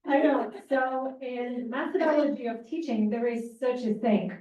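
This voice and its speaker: A woman from Ukraine with a Ukrainian accent, giving a lively, informal conference presentation and often correcting herself as she talks. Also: fairly high pitch, unhurried, a little hiss or hum. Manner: lively, informal, self-correcting, moves around while talking. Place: conference room. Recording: distant, dull, echoey room recording.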